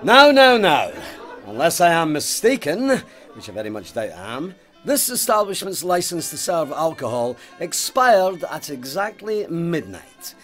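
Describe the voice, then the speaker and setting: An actor playing a stern, pious Scottish police sergeant in an audio drama, officious, pompous and indignant. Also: male voice, fairly high pitch, very animated, a little slow, fairly bright tone, soft background music. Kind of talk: full-cast audio drama acting.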